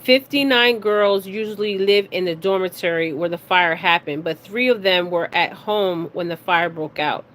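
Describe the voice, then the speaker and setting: A woman hosting a casual spiritual reading, speaking haltingly, stumbling over words and often checking in with her listeners. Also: fairly low pitch, fairly bright tone. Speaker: spiritual reader and card reader hosting her own video. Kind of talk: casual psychic reading, reading news details aloud. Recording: clearly noisy recording with background ambience.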